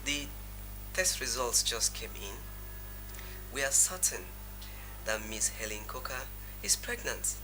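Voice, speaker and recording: A man acting as a formal, matter-of-fact doctor in a film drama, speaking in a measured way. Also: medium pitch, animated, background noise, close to an everyday voice. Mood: grave.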